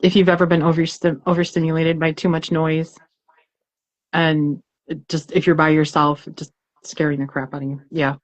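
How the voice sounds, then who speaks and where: A woman's voice: low-pitched, level tone, normal speed, no echo. A woman co-hosting a poetry reading, talking casually and expressively as she searches for her words.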